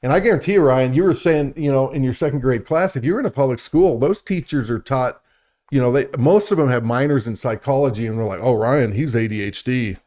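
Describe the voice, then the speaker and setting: Pria pembawa acara yang antusias, suaranya bernada sedang. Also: male voice, medium pitch, a little fast, darkish tone. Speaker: radio show co-host. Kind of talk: conversational science and faith talk show.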